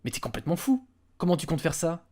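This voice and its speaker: A man's voice: fairly high pitch, sing-song, fast, a little mellow. Man acting a cautious, skeptical fantasy adventurer. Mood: incredulous, worried. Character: cautious, skeptical male adventurer, the group's researcher. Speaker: voice actor in an amateur audio fiction. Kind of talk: fantasy audio drama dialogue.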